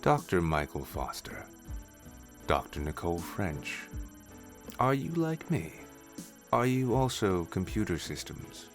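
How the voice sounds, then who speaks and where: A man's voice: low voice, big pitch swings, slow, long pauses, exaggerated voice. A man voicing a curious, polite artificial intelligence in a formal, precise manner for an audio story. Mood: polite, inquisitive. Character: newborn male AI computer, formal, curious, polite. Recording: music under the voice.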